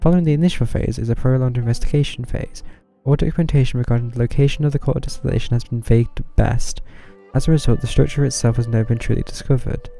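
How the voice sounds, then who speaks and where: Gravelly, mellow man streaming a game. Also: medium pitch, steady tone, fast talker, few pauses. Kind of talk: casual live gameplay commentary, reading game text aloud. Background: game music.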